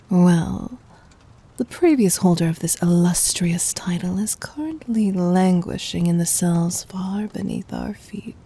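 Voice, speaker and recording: A woman performs a regal demon queen for an ASMR roleplay, in a lowish, soft and dark voice that is mostly breath. She speaks with formal poise and gentle dominance. Her commands come out tender, scheming and adoring. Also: exaggerated voice. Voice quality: breathy, whispery.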